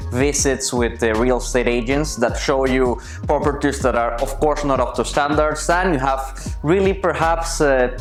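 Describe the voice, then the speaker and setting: Thoughtful man, faint music behind. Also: medium pitch. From Colombia. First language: Spanish. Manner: thoughtful, searching for words. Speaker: interviewee. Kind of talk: personal interview answers.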